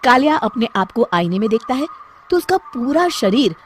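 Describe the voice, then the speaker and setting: A woman's voice: a little high, sing-song, normal speed, background noise. A woman storyteller delivering scripted narration for a cartoon tale.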